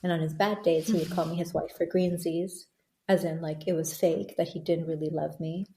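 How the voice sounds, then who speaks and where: A woman in her thirties with a low, mellow voice speaks as an interview guest, recounting her own story in an earnest, reflective way. She often restarts her sentences. The room around her rings with noticeable echo. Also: fairly even intonation, moderate pace, slight background noise. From born in Bangladesh, raised in Thailand. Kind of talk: candid conversational interview. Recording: echoey room.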